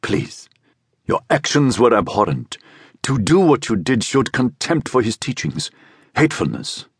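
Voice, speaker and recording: A male narrator plays a conflicted younger man in an audiobook drama, easily swayed by stronger wills. His delivery is emotional and wavering, with a highly varied pitch and a dry, close sound. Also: very animated, unhurried, slightly rough, no room sound, heavily acted. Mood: scornful.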